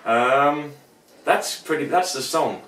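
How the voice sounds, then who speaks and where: A man in his forties, a bit hoarse, giving a casual, rambling guitar tutorial at home with many restarts. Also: mid-range voice, slow pace, fairly bright tone. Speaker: guitar teacher. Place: small cluttered room at home. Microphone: camera mic from a few feet away. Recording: echoey room, distant camera mic, guitar playing. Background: acoustic guitar playing.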